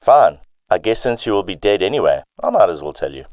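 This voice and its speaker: An actor playing a cold, menacing police chief turned mob boss in a theatrical radio drama style. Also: male voice, on the low side, sing-song, a little fast, a little mellow. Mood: cold, bitter.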